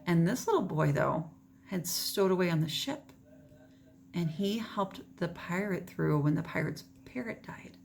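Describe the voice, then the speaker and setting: Expressive woman reading a storybook aloud. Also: fairly low pitch, a little slow, creaky, slight background noise. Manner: dramatic, often drops to whispers.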